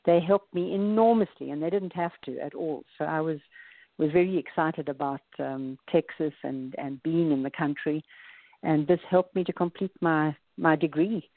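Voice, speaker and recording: A woman's voice: sits low, moderate pace, dry recording. A woman in her forties with a South African accent, a wellness coach guest telling her story in a casual interview with small laughs. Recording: dry tinny phone line.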